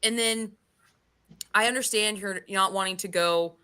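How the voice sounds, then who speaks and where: Woman w a bright punchy voice, opinionated book chat, swears, exasperated questions. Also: medium pitch, fairly even intonation, a little slow, clean tone. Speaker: book club podcast host. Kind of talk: casual opinionated book discussion.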